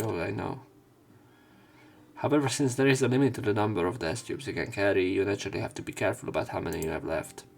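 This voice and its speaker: A man's voice: on the low side, gravelly. A man hosting a let's play, reading game text aloud and adding casual, sweary asides.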